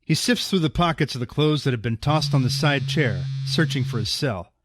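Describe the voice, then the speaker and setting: A man's voice: medium pitch, lively intonation, normal speed, slight background noise, lightly acted. A man acting as the composed narrator of a superhero audio drama, reading scripted present-tense description. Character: composed male storyteller narrating a superhero tale.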